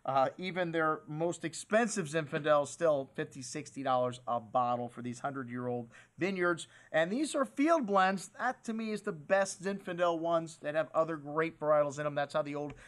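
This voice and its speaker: A man's voice: fairly high pitch, moderate pace, few pauses. A middle-aged man hosting a wine shop video, talking casually and enthusiastically to camera about new bottles. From USA. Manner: enthusiastic, chatty salesman with "hey" and "man". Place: wine shop set in front of wine crates, indoors.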